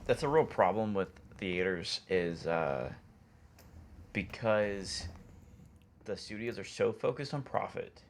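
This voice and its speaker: Low, gravelly-voiced man in casual discussion. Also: sing-song, slow pace, pauses often, a little hiss or hum. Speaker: podcast co-host. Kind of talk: casual chat.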